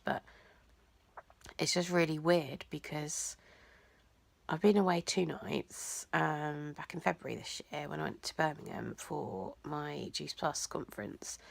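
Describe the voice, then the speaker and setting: A young woman, talking in a rambling, hesitant way in a personal vlog, nasal and croaky from blocked sinuses. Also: sits low, dry recording. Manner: rambling, hesitant, many ums and likes. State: congested, teary. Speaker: vlogger.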